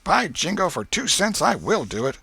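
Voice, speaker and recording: A man's voice: high voice, sing-song, normal speed, vocal fry, pushed and bright, husky, no echo, voice pushed up, heavily acted. A man voicing a scrappy, wheedling young American boy with rustic, slangy, emphatic speech in a storybook reading. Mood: combative.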